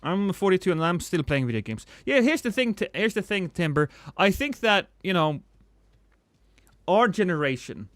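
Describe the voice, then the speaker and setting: A man's voice: moderate pace, no room sound. A man in his thirties chatting casually and profanely with viewers on a live stream, rambling and joking at his own expense. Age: 30s. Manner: rambling, self-mocking, profane; swings between loud excited bursts and low mumbles.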